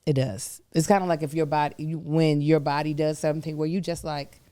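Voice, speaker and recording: A woman podcast co-host talking casually with a hoarse voice, candid and exasperated. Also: low-pitched, fairly even intonation, brisk, mellow, dry recording, studio quality. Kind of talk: casual chat.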